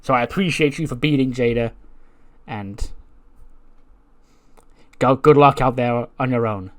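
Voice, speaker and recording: A man's voice: mid-range voice, a little slow, close to an everyday voice. A man acting out a frustrated female wrestler, pleading and insistent as she defends herself and apologises. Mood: defensive, frustrated. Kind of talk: acted-out backstage wrestling argument.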